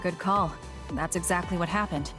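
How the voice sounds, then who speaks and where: Anime game role: actress as composed, earnest young female soldier, matter-of-fact, slightly low pitch, music underneath. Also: moderate pace, natural voice. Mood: concerned. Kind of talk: English anime game dub acting.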